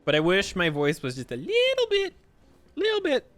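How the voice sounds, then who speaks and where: Lively rambling young man streaming. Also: sits high, moderate pace. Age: 20s. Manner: rambling, swears freely, self-mocking. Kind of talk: casual chat while playing a game.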